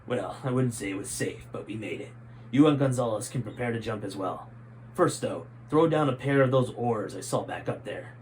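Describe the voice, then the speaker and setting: A man's voice: mid-range voice, normal speed, darkish tone, slight background noise, natural voice, low-quality recording. A man voicing a stern, scowling army general in a storybook, terse and commanding.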